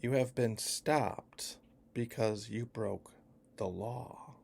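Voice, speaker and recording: A man voicing a calm male police officer who speaks low, quiet and firm. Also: slow pace, creaky, husky, heavily acted. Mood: stern.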